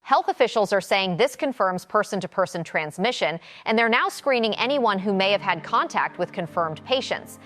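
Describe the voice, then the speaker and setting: A woman's voice: mid-range voice, expressive, moderate pace, hardly any pauses, fairly bright tone, close to an everyday voice. Actress as composed woman field reporter over music. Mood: grave, composed. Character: on-scene TV news reporter, grave and composed woman. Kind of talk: scripted live news report in audio drama. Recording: music bed under the voice.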